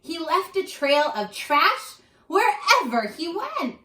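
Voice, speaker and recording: A woman reading aloud to children as a teacher, addressing them directly with questions in an animated, instructional way. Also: very high-pitched, slow pace. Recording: roomy, echoing sound.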